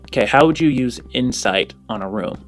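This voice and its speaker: A man's voice: mid-range voice, expressive, normal speed. A man improvising narration as game master of a tabletop role-playing game. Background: music.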